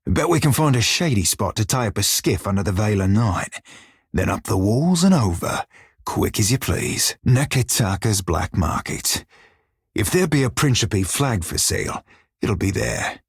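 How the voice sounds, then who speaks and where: An actor playing a wry, crafty pirate sailor in a video game, speaking in salty, colloquial seafaring dialect with a husky, rough-edged voice. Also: male voice, fairly low pitch, sing-song, moderate pace, dry recording, studio quality, exaggerated voice. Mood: wry, matter-of-fact. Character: roguish male pirate sailor, wry, crafty, loyal crewmate. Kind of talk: scripted video game dialogue.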